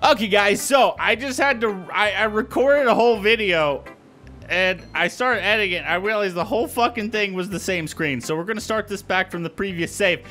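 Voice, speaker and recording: A man's voice: high-pitched, moderate pace, sharp tone, faint music behind. A man hosting a gaming video with casual, informal, jokey let's play commentary.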